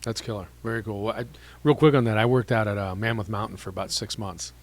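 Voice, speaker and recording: A man's voice: fairly low pitch, fairly even intonation. A man hosting a casual podcast interview, a mortgage lender with a chatty, upbeat manner. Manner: chatty, upbeat, quick affirmations.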